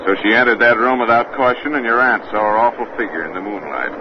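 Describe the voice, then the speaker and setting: A deep-voiced, laconic investigator, played by a man, talks in a folksy drawl. The acting is from 1930s radio horror, on a muffled recording with clear noise. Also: quick pace, natural voice. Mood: grave, steady. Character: steady, laconic investigator and champion pistol shot, awkwardly lovestruck.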